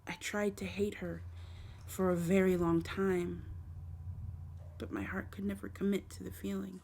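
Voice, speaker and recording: A woman voicing a gentle, lonely dark fae in an ASMR roleplay, speaking tenderly and hesitantly to the listener in formal storybook phrasing. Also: on the low side, unhurried, vocal fry. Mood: wistful, sorrowful. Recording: faint background noise.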